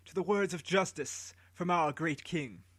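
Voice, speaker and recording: A man voicing a royal herald with a staff, proclaiming slowly and grandly to a crowd. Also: fairly high pitch, fairly bright tone, breathy edge, no room sound. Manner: slow, proclaiming.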